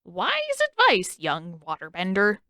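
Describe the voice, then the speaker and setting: A woman voicing a sly, scheming old king who taunts slowly in a fan fiction audiobook reading. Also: mid-range voice, huge pitch swings, bright, forceful tone, dry recording, studio quality, full character voice. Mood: mocking.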